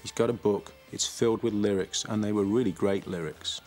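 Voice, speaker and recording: A man telling the story of his band in a documentary interview, in a measured way. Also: on the low side, darkish tone, faint music behind. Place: dark studio set.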